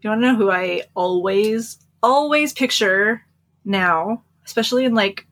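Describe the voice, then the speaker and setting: A woman's voice: mid-range voice, unhurried, a bit bright. A giggly, chatty woman co-hosting a book podcast and casually recapping stories.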